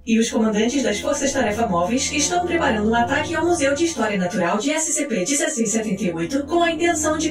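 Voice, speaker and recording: An echoey, high-pitched woman's voice narrates over soft music. She reads a formal script in a measured, clinical way, like documentary narration.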